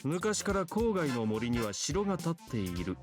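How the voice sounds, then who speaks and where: Stiff old-fashioned schoolboy in a visual novel, kinda high pitched, very animated, music under it. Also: male voice, fast. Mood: solemn. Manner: formal, archaic, pompous phrasing. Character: stern, old-fashioned male student telling ghost rumors. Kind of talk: Japanese visual novel voice acting.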